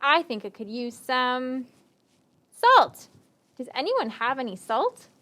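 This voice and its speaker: Puppet show vagabond, played by a woman: high-pitched, swooping delivery, theatrical and deliberate, a friendly coaxer. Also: very animated, bright, forceful tone, full character voice. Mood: musing, hinting. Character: friendly, tired, hungry wandering stranger puppet, cleverly coaxing. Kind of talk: children's puppet show acting.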